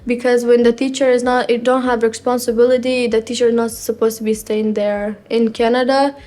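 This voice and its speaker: Teenage girl in halting English. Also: a little high, flat delivery, mellow. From Afghanistan. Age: teens. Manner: halting learner English, simple phrases, frequent pauses. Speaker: student. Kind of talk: personal testimony.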